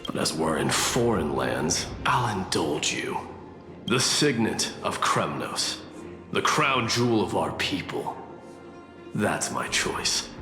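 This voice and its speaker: A man's voice: low voice, very animated, takes it slowly, exaggerated voice. A man acting a proud, menacing warrior prince in a video game, formal and disdainful. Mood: haughty, threatening. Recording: echoey hall reverb, music behind.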